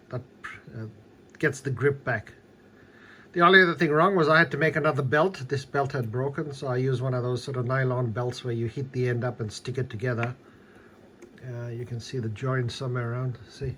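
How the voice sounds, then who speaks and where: A man's voice: medium pitch, fairly bright tone, slight background noise. A man giving a casual, unscripted hands-on demonstration, explaining things a little hesitantly as he goes. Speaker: hobbyist demonstrating a repaired tape deck.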